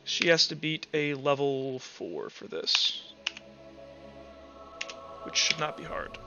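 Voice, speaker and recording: A man's voice: fairly high pitch, big pitch swings, slow pace, gravelly, highs cut off. A man acting as game master, casually thinking aloud as he narrates a tabletop game and explains the rules. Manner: informal, conversational, thinking aloud. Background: soft music.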